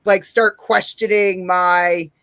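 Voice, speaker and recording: A woman with a deep voice hosting a casual interview, blunt and profane, laughing often. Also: steady tone, slow, fairly bright tone, clean tone, dry recording. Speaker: podcast host.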